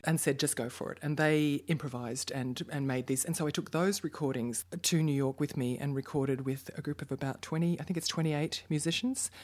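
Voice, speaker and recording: An Australian woman, a poet and musician, talking as an interview guest, reflective and full of stories. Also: very deep voice. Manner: reflective, storytelling, lively asides. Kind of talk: casual interview chat.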